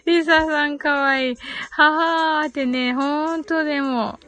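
A woman's voice: high-pitched, fairly even intonation, a little slow, pushed and bright. A giggly woman hosting a casual live audio stream, chatting and reading out listener comments with frequent short acknowledgements. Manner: giggly, frequent laughter while talking.

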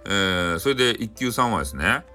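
A man with a Hakata accent narrating a bedtime folktale in a casual, chatty, animated way. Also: fairly low pitch, fairly quick, soft background music. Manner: chatty, animated, frequent sentence-ending fillers. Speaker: storyteller.

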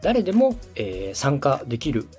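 A man's voice: mid-range voice, wildly swooping pitch, normal speed, a little mellow, breathy edge. A man in his thirties from Osaka hosting a podcast, explaining things politely and step by step to a co-host. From Osaka, Japan. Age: 30s. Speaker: podcast host and radio director. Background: music.

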